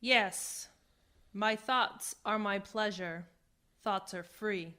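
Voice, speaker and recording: A woman playing an earnest, bewildered exile in a science fiction radio drama, guarded and halting. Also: medium pitch, bright, forceful tone. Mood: subdued, resistant.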